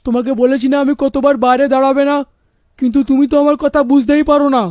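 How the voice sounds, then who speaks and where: A woman acting a stern, controlling middle-aged father in a children's cartoon, loud and scolding. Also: high voice, steady tone, fast, dry recording, studio quality, close to an everyday voice. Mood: angry, scolding.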